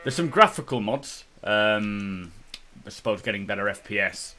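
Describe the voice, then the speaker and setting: Low, bright-voiced man commentating a game. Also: expressive, normal speed. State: slightly drunk, self-reported. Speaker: gaming video host. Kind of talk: casual playthrough commentary.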